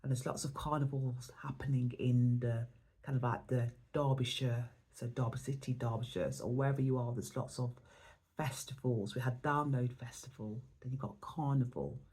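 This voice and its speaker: Hoarse woman giving a card reading. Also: extremely low pitch, moderate pace. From England. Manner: reflective, rambling, gentle. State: hoarse, losing her voice. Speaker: oracle card reader. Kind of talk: spiritual vlog monologue.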